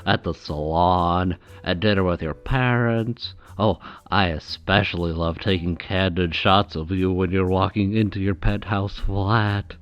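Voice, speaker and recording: A man's voice: deep voice, steady tone, measured pace, few pauses, dull audio. A man playing a nervous, adoring, creepy superfan with a hesitant, awkward, eager manner in a comedic audio drama. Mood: eager, infatuated.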